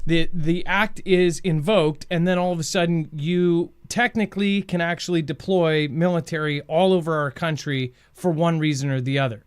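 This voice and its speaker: A man's voice: a little high, steady tone, moderate pace, few pauses, clean tone. A man hosting a news show with conversational commentary, speaking informally and straight to his viewers. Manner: informal, addresses viewers directly.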